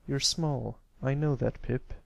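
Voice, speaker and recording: A man's voice: mid-range voice, steady tone, takes it slowly, vocal fry, no room sound, voice pushed up. A man plays a grown stallion guard from a storybook. He is a firm, caring big brother who speaks protectively. The recording is dry and close. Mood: gentle, encouraging.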